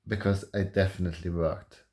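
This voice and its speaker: A man in his early twenties speaking softly and calmly in a halting, hesitant way, thinking aloud in a personal confessional vlog. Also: deep voice. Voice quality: soft voice. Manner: calm, halting, hesitant with long pauses. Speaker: vlogger.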